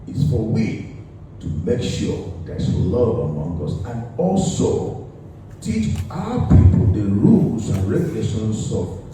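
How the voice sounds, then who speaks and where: A middle-aged community leader, a man, answering interview questions in a measured way and listing his points one by one. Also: on the low side, dark tone. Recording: echoey room, voice far from the mic, faint noise.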